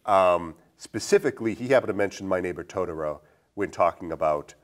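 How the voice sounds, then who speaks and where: A man's voice: low-pitched, animated, fairly quick. A man hosting a casual film discussion, talking in a hesitant, rambling way full of fillers. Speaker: podcast host.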